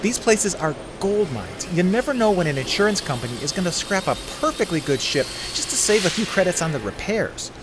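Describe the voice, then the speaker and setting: Actor doing a friendly junkyard mechanic, eager salesman type, bouncy pitch, noisy background. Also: big pitch swings, a little fast, hardly any pauses, close to an everyday voice. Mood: persuasive. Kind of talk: radio drama dialogue. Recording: clear vehicle ambience behind voice.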